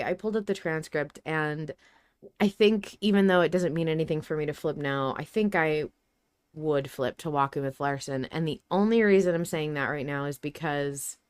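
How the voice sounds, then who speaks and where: A woman's voice: deep voice, clean tone, dry recording, studio quality. A woman hosting a podcast, talking through her thoughts in a casual, reflective, conversational way. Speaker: podcast host.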